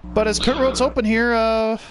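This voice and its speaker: A man's voice: extremely high pitch, little pitch movement, moderate pace, a bit bright, faint music behind. A young man giving loose, chatty live commentary on a sim race and reading viewer comments aloud.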